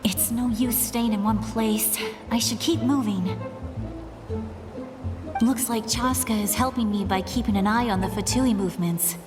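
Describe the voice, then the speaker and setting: A woman's voice: fairly high pitch, a little slow, music in the background, lightly acted. An actress playing a spirited young woman in a video game, talking herself through sneaking past guards.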